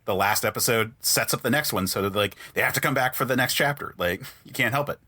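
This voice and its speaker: A man hosts a casual podcast with a bright, forward voice and a very dry sound. His manner is informal and conversational, with the odd casual swear word. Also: medium pitch, quick pace, slightly rough, no room sound. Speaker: podcast host.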